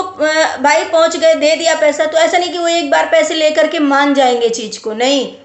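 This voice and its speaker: A woman vlogger talking casually to viewers and giving advice, explaining with emphatic repetition. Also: high-pitched. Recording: roomy, echoey sound.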